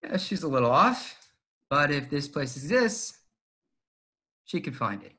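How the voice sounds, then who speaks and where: A man playing a cocky but earnest pilot in a fan table read, with a casual, halting, persuasive manner. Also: on the high side, very animated, pushed and bright, slightly rough, exaggerated voice. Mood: earnest, persuading.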